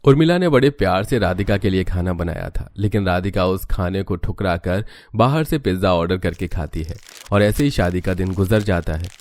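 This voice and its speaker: Low-voiced man narrating a story. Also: normal speed, few pauses, darkish tone, no room sound. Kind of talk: scripted storytelling narration for Hindi cartoon.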